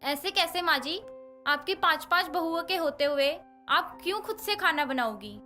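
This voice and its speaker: A woman voicing a brave, caring young tenant daughter-in-law in a storybook tale, over soft background music. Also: extremely high pitch, expressive, moderate pace, heavily acted. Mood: earnest. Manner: eager, reassuring.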